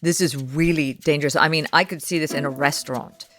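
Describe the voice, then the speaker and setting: Low-voiced woman, effusive investor. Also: fairly even intonation, fairly quick, a bit bright. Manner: effusive and exclamatory. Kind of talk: casual conversation.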